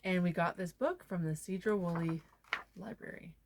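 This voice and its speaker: A woman reading a storybook aloud to children in an expressive manner. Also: fairly low pitch, normal speed. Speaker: read-aloud presenter for children.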